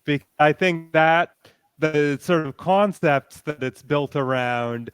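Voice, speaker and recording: A man's voice: fairly high pitch, takes it slowly, clean tone, no room sound, clean studio sound. A man speaking casually as a panelist and podcaster in a discussion.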